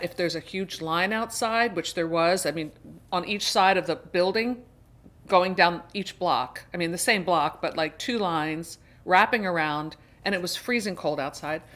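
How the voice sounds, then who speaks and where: A woman's voice: on the low side, fairly bright tone. A middle-aged woman chatting casually to her viewers as a book vlogger, rambling along with emphatic turns of phrase. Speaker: book reviewer and video host.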